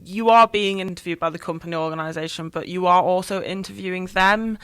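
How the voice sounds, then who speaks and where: A woman's voice: lowish voice, brisk, fairly bright tone, clean tone, dry recording. A woman, speaking as an interview guest and giving chatty, earnest career advice with frequent "you know"s. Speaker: interview guest, council officer. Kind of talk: casual conversational advice.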